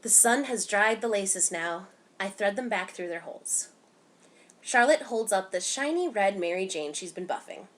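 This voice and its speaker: A woman reading a picture book aloud to children in an expressive, animated way, giving emphasis to the dialogue. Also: medium pitch, moderate pace. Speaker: children's story time reader.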